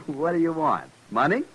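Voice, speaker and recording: Cocky, taunting young cavalry officer. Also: male voice, on the high side, fairly bright tone, heavily acted. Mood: mocking amusement. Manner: mocking, smug, goading. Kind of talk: old time radio western acting. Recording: noisy old radio drama recording.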